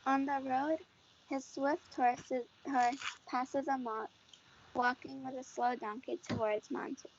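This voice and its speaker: High, creaky female narrator. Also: steady tone, measured pace, a little hiss or hum. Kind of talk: scripted stage narration. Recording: dull lo-fi audio.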